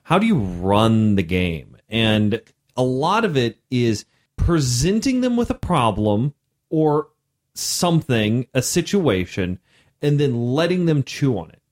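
Loud, lively-voiced man. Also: mid-range voice, slow pace. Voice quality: loud voice that projects. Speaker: podcast co-host and game master. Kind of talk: casual podcast chat.

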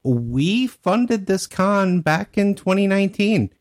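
A man with a fairly high voice, chiming in with short, blunt remarks. He co-hosts a casual chat show. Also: sing-song, slow. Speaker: podcast co-host.

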